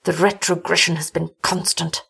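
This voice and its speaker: Casting: anguished older man of a haunted village, played by a woman, formal, melodramatic, slightly husky. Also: sits high, level tone, normal speed, bright, forceful tone, full character voice. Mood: faint, hopeless. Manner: formal, melodramatic, weary speech. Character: anguished, despairing older gentleman, village husband haunted by horror.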